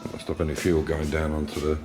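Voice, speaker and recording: A man's voice: a bass voice, completely flat delivery, brisk, darkish tone, echoey room. An English man hosting a casual car restoration vlog, rambling through his workshop with restarts and fillers. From England. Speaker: classic car restorer and channel host. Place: garage workshop.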